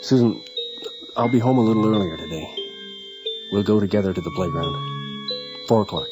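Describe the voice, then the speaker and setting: A man's voice: fairly low pitch, fairly quick, close to an everyday voice. A middle-aged man playing an anxious, brooding father in a radio drama, speaking hesitantly. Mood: subdued, uneasy. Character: anxious, troubled father of a small boy. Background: music.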